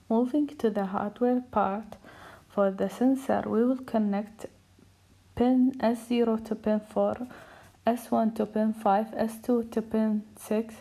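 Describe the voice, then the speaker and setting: A woman's voice: on the high side, mellow, rough recording. A woman giving a scripted technical presentation as a student.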